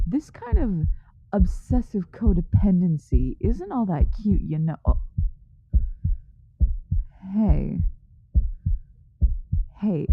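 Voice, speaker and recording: A woman's voice: sits low, no room sound, narrow, tinny audio, exaggerated voice. A woman playing a snarky tsundere young succubus who secretly cares, teasing and exasperated in an ASMR roleplay. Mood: urgent concern. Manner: teasing, exasperated, sighing, grudgingly soothing.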